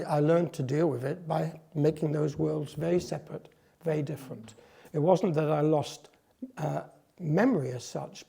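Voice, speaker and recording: A man who is a psychotherapist and whose first language is French speaks reflectively in an interview, pausing often and restarting as he searches for words. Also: a little high, creaky, warm tone, husky. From France, Netherlands, US, England; a mixed upbringing. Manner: thoughtful, searching for words, many ums and restarts.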